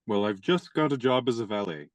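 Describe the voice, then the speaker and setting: An eager young man in a radio play. The voice is very deep and dryly recorded, and the manner is awkward and hesitant, tripping over the starts of sentences. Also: animated, fairly quick, dry recording. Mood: flustered, surprised. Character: eager, awkward young man, shy would-be suitor and writer.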